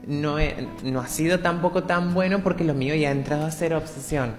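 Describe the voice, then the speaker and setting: A woman's voice: low voice, a little fast, music in the background. Woman in her twenties, reverberant radio studio. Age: 20s. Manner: open, reflective, personal storytelling. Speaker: guest. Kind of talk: candid radio interview.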